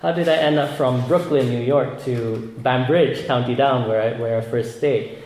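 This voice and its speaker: A man's voice: medium pitch, steady tone, normal speed, darkish tone, reverberant, rough recording. A man from Malaysia preaching a sermon, earnest and often repeating words as he builds his points.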